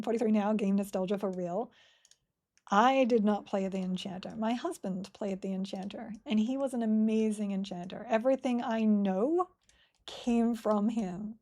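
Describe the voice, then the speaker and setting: A woman's voice: medium pitch, normal speed, mellow. A woman streaming a game live, chatting casually and rambling while she plays and reads chat aloud.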